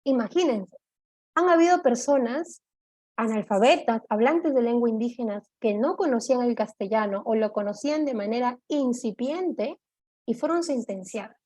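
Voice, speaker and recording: A woman giving an online law lecture, explaining step by step, often checking in with her listeners and pausing on "eh". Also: a little high, mellow. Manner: explanatory, checks in with "¿de acuerdo?", frequent "eh" fillers.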